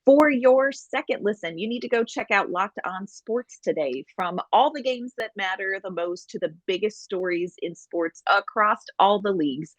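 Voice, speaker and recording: Low-voiced woman co-hosting a sports podcast. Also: no room sound, poor audio. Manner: chatty and animated, apologetic asides. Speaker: podcast co-host. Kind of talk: casual sports podcast chat.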